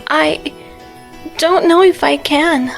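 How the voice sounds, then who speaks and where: A woman acts a young witch girl in an audio drama. Her voice is high and she speaks slowly, with blunt, guarded feistiness and hesitant pauses. Quiet music plays underneath. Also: creaky, exaggerated voice. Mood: unsure. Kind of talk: fan audio drama acting.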